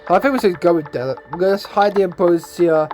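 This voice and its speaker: A man giving casual gameplay commentary as a YouTuber, rambling and stumbling over his words, his voice hoarse and going. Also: high-pitched, brisk. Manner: rambling, stumbling, tags lines with "eh?" and "then, guys". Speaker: gaming YouTuber. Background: game music.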